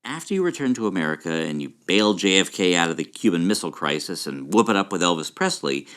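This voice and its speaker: Deep, animated male interviewer. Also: quick pace. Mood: engaged, informative. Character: male interviewer prompting an old woman's reminiscences. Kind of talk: scripted audio drama interview narration.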